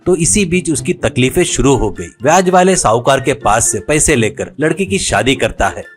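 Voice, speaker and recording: A man's voice: medium pitch, animated, normal speed, faint music behind. A man narrating a scripted moral story as the storyteller.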